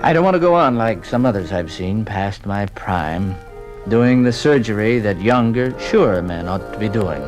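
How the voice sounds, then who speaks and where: Male actor as dejected aging doctor, low halting voice, old radio drama with music. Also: lively intonation, lightly acted, low-quality recording. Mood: weary resignation. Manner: subdued, halting, resigned. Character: weary, dejected senior surgeon, past his youth. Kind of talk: 1950s radio drama acting.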